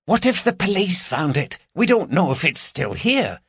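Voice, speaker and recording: A man's voice: sits high, wildly swooping pitch, normal speed, creaky, fairly bright tone, slightly rough, no room sound, clean studio sound, pitched above the performer's own voice, full character voice. A man voicing an anxious, foul-mouthed woman burglar in an audiobook, urgent and complaining. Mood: alarmed.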